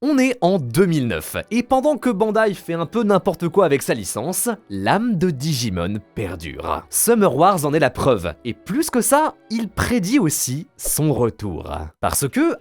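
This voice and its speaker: A man's voice: on the high side, no echo. A man narrating a scripted video essay with casual humour, as the storyteller.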